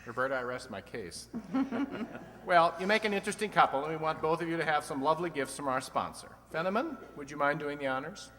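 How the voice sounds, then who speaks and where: A man's voice: fairly high pitch, lively intonation, fast talker, a bit bright. A man playing a sly, teasing host of an old-time radio quiz show, full of dry wisecracks. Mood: wisecracking. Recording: long hall reverb.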